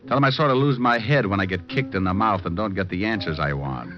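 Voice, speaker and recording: Guy doing a cocky american gumshoe from old radio drama, low voice, wry, muffled sound. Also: fast talker, close to an everyday voice. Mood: tough, threatening. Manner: wry, hard-boiled, breezy. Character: wisecracking, cocky, tough male private detective. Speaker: lead actor and narrator of a radio detective show. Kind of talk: old-time radio detective drama acting. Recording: dull old radio recording with music.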